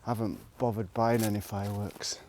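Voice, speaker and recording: A man talking casually to a vlog audience in a reflective, rambling monologue, musing haltingly and trailing off between thoughts. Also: lowish voice, fairly even intonation, a little mellow. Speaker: vlogger. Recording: noticeable background noise, clicks.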